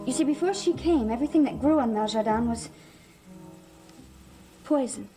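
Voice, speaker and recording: A woman's voice: on the high side, normal speed, mellow, faint music behind, close to an everyday voice. An actress playing a guarded, earnest young woman in a gothic soap drama, speaking plainly. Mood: wistful, pleading. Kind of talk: 1960s-70s gothic TV soap acting.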